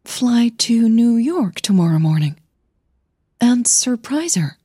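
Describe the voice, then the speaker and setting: A woman's voice: a little high, very animated, takes it slowly, dark tone, slightly rough, no echo, clean studio sound, pitched above the performer's own voice, exaggerated voice. A woman voicing a tired, hesitant woman opera singer in an audio drama. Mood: tentative, daring.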